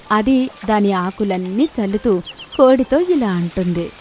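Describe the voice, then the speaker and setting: A woman's voice: mid-range voice, expressive, unhurried, a little mellow, slight background noise. A woman telling scripted children's moral stories as narrator. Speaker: storyteller, narrator.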